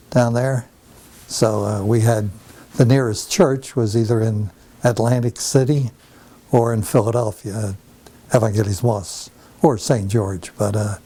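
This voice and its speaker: An older man from New Jersey, telling his life story at a relaxed pace in a home interview, pausing often with fillers. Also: on the low side. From New Jersey, USA. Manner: unhurried, pauses often with many fillers. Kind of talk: oral history interview.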